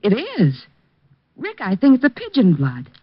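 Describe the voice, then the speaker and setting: A teasing, lively society girlfriend. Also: medium pitch, normal speed, slight background noise. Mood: playful. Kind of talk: 1950s radio drama acting. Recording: dull, dry old radio broadcast.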